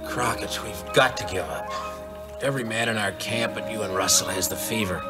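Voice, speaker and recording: A man's voice: lowish voice, normal speed, close to an everyday voice. A man playing a stern, exasperated army major in a 1950s audio adventure, curt and complaining. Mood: weary, exasperated. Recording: 1950s record drama, music behind.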